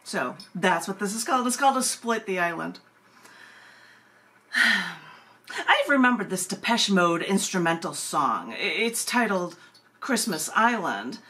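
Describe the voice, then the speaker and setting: Bright, forceful woman reading poetry. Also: lowish voice. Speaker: poet hosting an open mic. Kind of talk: poetry reading with casual asides. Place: at home, on a video call.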